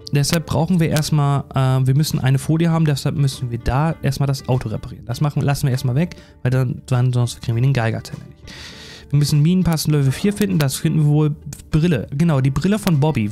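Mellow relaxed guy chatting away over a video game, kinda rambling, music in the back. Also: mid-range voice. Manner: chatty, rambling, self-deprecating. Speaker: gaming YouTuber. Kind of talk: casual let's play commentary.

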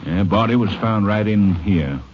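On a dull, slightly noisy vintage radio recording, a folksy Texas sheriff acted by a man talks in a very low voice. He is plain-spoken, helpful and easygoing, with a questioning way about him. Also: moderate pace, lightly acted. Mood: folksy, curious. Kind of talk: 1950 radio western acting. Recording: muffled, noisy old 1950 radio recording.

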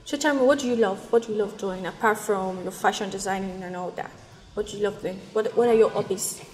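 A woman's voice: medium pitch, brisk, darkish tone. A young Nigerian woman in her twenties on a blind date, speaking in a blunt, teasing and direct way. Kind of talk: casual conversation. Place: indoor restaurant with large windows. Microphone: lapel mic.

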